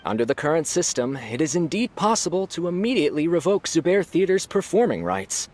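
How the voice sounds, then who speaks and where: An actor playing a formal, precise scholarly adjudicator in a video game, delivering official judgments. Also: male voice, a little high, very animated, brisk, darkish tone. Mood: measured, official. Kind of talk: scripted video game dialogue.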